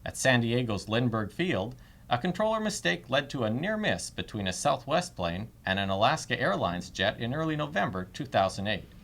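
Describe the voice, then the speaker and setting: Male reporter reading scripted investigative narration, medium pitch, highly varied intonation. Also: big pitch swings, normal speed, a bit bright. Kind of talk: scripted news documentary narration.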